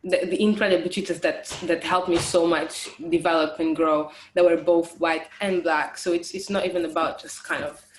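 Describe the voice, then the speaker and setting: A woman's voice: fairly low pitch, fairly even intonation, normal speed, few pauses, echoey room, low-quality recording. A young woman in her twenties, a design graduate, answering interview questions thoughtfully, with many fillers and restarts.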